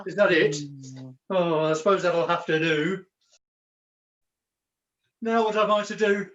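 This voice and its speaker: A woman's voice: mid-range voice, close to an everyday voice. A woman playing a hapless, earnest young jester in a pantomime, theatrical and sheepish, on a video call. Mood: teasing. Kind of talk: pantomime acting. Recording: dull lo-fi video-call recording.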